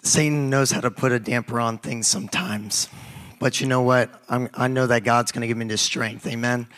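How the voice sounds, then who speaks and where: A young man with a raspy voice, hoarse from a recent cold, preaches as a youth pastor. His pitch sits in the middle range, and he talks casually, stumbling and restarting. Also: steady tone, a bit bright. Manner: casual, halting, with many restarts and fillers. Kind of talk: sermon.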